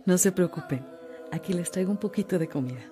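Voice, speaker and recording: A woman's voice: fairly low pitch, quick pace, over music, lightly acted. An actress with a Mexican accent playing a loving, devout mother in a radio drama, tender and reverent. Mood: caring, reassuring.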